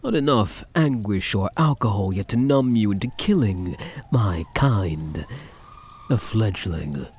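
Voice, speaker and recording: Guy doing a slow breathy vampire count for asmr roleplay, soft spoken, teasing and possessive, kinda mocking. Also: lowish voice, lively intonation, heavily acted. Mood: seductive, wistful. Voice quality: husky, breathy. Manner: slow, teasing, mock-sympathetic purr.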